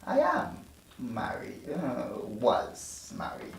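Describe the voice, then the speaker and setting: A man playing a guarded, terse tough guy in his forties at a table read, hesitant and measured, in a roomy recording. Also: mid-range voice, wildly swooping pitch, gravelly, full character voice. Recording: roomy, distant mic, faint noise.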